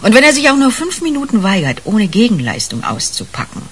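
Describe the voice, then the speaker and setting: Lively, brash senior policewoman. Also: medium pitch, fairly quick, breathy edge. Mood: conspiratorial. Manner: blunt, colloquial, teasing. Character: brash, sardonic senior policewoman, shrewd and unfussy. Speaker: actor in a radio crime drama. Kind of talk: 1980s German radio play acting.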